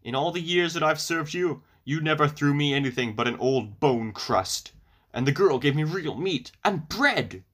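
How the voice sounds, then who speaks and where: A man's voice: fairly high pitch, animated, normal speed, fairly bright tone. A man voicing a big guard dog in a storybook, grateful and frank. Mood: aggrieved.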